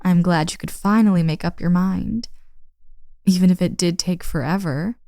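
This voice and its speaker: A woman's voice: fairly low pitch, normal speed, mellow, no echo, natural voice. Woman acting a playful, teasing young woman, dry close sound. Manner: playful, teasing, casual, mock-exasperated. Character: teasing, confident young woman, friend's older sister. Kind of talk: intimate roleplay audio drama, addressed to listener.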